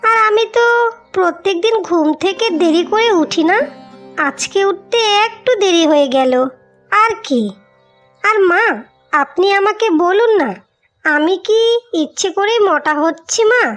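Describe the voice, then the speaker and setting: A woman's voice: very high voice, lively intonation, unhurried, pauses often, a bit bright, heavily acted. A woman voicing a meek young village daughter-in-law in a cartoon story, politely pleading. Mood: pleading, apologetic. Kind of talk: Bengali cartoon moral story acting. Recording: music behind the voice.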